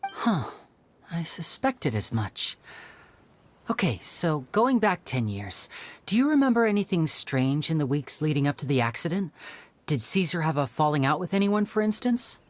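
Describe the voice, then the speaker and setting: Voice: mid-range voice, sing-song, moderate pace, natural voice. An actor playing a polite, composed young male magician in a video game, courteous and reassuring as he explains things. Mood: thoughtful.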